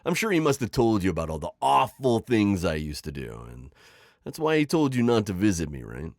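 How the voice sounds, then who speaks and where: A man's voice: fairly low pitch, very animated, a little fast, no echo, studio quality. A man playing a sly, teasing, middle-aged retired magical villain in an ASMR roleplay, theatrical and coaxing in his delivery. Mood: sly, amused. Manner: theatrical, teasing, manipulative coaxing with sighs and chuckles. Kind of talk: scripted ASMR roleplay acting.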